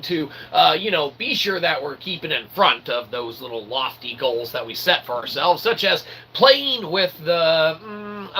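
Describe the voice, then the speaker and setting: Guy hosting a comedy show, sharp bright voice, rambles in fancy mock-formal talk, theatrical, bit of background noise. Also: on the high side, rough recording. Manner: wordy mock-formal phrasing. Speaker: host of a comedy philosophy show.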